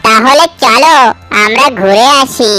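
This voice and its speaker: A woman voicing a gentle, loyal, slightly timid duck friend, earnest, in a children's cartoon. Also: on the high side, a little slow, over loud music, lightly acted, rough recording. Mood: friendly.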